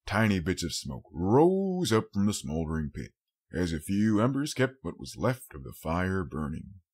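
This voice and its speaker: A man's voice: low voice, sing-song, a little slow, a little mellow, breathy edge. A man reading a scripted story as an audiobook narrator.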